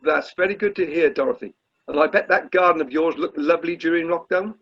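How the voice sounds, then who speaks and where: A man's voice: on the high side, brisk, husky. A man playing a fussy, polite amateur dramatics director in a comic radio play, stammering and tactfully effusive. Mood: effusive welcome. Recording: slightly dull video call audio.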